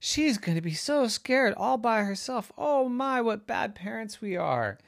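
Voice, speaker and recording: A fretful storybook mother with a squealing high voice, as a man puts her on. She sounds anxious and dramatic, her pitch leaping up and down with a lively swing. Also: a little slow, creaky, dry recording, studio quality, heavily acted. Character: excitable worried young mother. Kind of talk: storybook reading.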